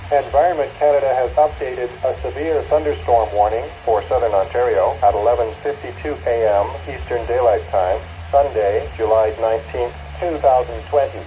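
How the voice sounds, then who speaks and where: A man's voice: mid-range voice, moderate pace, hardly any pauses. A man's synthetic announcer voice giving a formal, stilted reading of a scripted weather bulletin over weather radio. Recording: dull, noisy weather radio reception.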